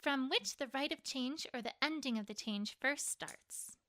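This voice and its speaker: A woman's voice: sits high, normal speed, sharp tone. A woman voicing an anxious, bookish young female unicorn pony in a story, with a hesitant, self-doubting manner. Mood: hushed, studious. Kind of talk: dramatized fan fiction audiobook. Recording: long reverb on voice.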